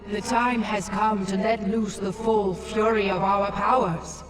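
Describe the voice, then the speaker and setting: Regal alien matriarch, echoing. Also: female voice, medium pitch, fairly even intonation, unhurried. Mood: rousing. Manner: imperious, ceremonial. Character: commanding female alien matriarch, stern and regal. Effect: heavy reverb. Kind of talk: video game voice acting. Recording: cavernous echo, distant, music behind.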